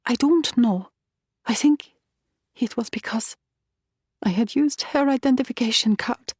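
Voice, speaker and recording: Audiobook role: female narrator as distressed young woman courier, pitched high, pinched and pleading, very dry close sound. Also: measured pace, darkish tone, breathy edge, no echo. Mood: anxious, grim. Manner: pleading, urgent.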